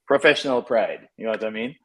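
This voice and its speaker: Man, jamaican accent, fifties, low voice, relaxed chat, soccer coach, easygoing fillers. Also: fast, breathy edge. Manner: relaxed, conversational, frequent fillers and restarts. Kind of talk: casual interview.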